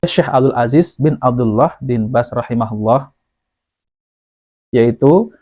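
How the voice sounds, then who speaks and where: A man with an Indonesian accent hosts an informal religious commentary in a soft, dark voice. He circles back over his points and tags his phrases with small fillers. The recording is muffled and low in fidelity. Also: unhurried. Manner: repetitive, often tags phrases with "ya". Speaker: commentary host. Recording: dull lo-fi audio.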